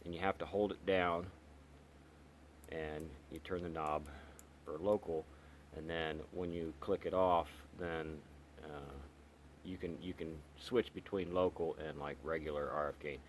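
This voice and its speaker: A man casually walking through the features of a radio he is reviewing, explaining step by step with many pauses and restarts. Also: very deep voice, steady tone, a little hiss or hum. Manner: halting, lots of ums and restarts, explains step by step. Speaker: radio hobbyist reviewing gear. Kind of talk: casual product walkthrough.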